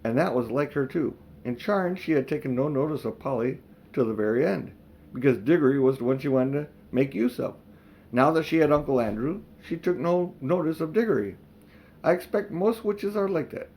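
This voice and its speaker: A man's voice: a little high, lively intonation, normal speed, a little mellow. An older man reading a children's story aloud in an informal way. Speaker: audiobook reader.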